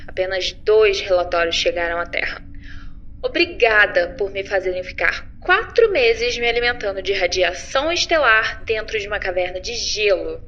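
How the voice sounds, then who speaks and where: Woman as bright-voiced sarcastic space patrol officer, lo-fi. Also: medium pitch, a little hiss or hum. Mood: wry, weary. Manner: sarcastic, expressive, informal. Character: sarcastic, chatty young female galactic patrol officer recording mission report. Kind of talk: science fiction audio drama log.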